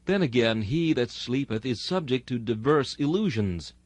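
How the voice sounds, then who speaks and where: A man reading a sermon aloud in a solemn, earnest, deliberate oratorical manner. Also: mid-range voice, expressive, no room sound. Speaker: reader of a historical sermon.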